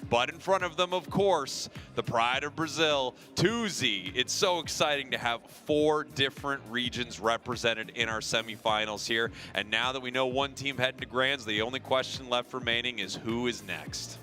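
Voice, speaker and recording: A man casting an esports tournament, energetic and building hype between matches. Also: medium pitch, normal speed, few pauses, faint music behind. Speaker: esports caster. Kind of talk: play-by-play commentary.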